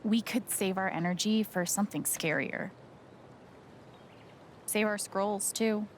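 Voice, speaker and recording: An actress playing a wry, gentle young woman joining in a fantasy quest in a video game. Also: mid-range voice, fairly even intonation, a little slow, a little hiss or hum, lightly acted. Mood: cautious.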